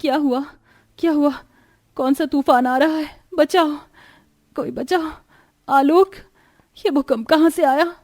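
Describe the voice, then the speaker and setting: A woman's voice: high voice, steady tone, unhurried, long pauses, a little mellow, no room sound, clean studio sound, voice pushed up. A woman voicing a timid, nervous young bride in a dramatised moral story, meek and polite toward her elders. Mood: panicked. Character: timid, eager-to-please young bride from poor family.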